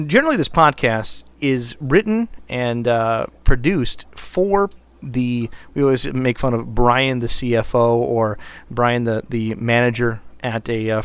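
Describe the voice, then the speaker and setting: A man with a low voice, co-hosting an IT podcast, speaking deliberately with fillers and restarts. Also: smooth. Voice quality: low voice, pushed brighter on purpose. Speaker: podcast co-host, IT technician. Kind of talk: casual podcast talk. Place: Minnesota, US.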